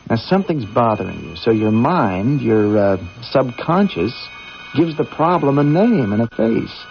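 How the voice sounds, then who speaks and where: A man's voice: medium pitch, very animated, unhurried, creaky. A man playing a loyal, sceptical astronaut co-pilot in a radio drama, placating and reasoning, on an old radio recording. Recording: dull 1980s radio recording, faint music.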